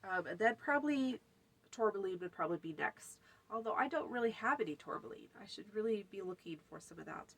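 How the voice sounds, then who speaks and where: A woman with a blocked, stuffy nose talks viewers through a homemade craft in a loose, conversational way. She ambles from item to item with frequent ums and uhs. Her voice sits at an ordinary middle pitch. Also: animated, brisk. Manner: chatty, many fillers, lists items. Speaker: folk magic channel host. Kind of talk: casual spoken tutorial.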